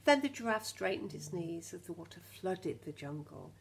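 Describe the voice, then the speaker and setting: A woman reads a picture book to young children in a fairly low voice with a creaky edge. She is expressive and often speaks to the children directly with questions. A faint layer of noise sits behind her. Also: moderate pace. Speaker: teacher reading a storybook to young children. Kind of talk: expressive children's storybook reading with asides to the listeners.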